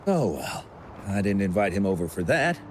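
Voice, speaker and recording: A man's voice: low-pitched, very animated, normal speed, noisy background. An actor playing a genial older male festival director in a video game, chatty and encouraging. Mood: chatty, slightly rueful. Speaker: games director.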